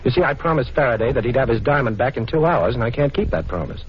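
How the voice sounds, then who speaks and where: A man playing a quick-witted, cool amateur sleuth with a glib, wry manner in a 1940s radio drama. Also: medium pitch, expressive, natural voice. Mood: wry, explaining. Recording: dull, noisy 1940s radio recording.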